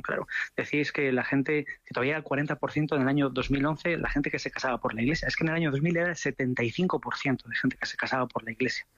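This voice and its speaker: Male academic guest, mid-pitched, measured and searching, muffled dry line. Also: fairly bright tone, no room sound. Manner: measured, thoughtful, repeats words while searching. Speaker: sociologist guest. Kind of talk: radio interview answers.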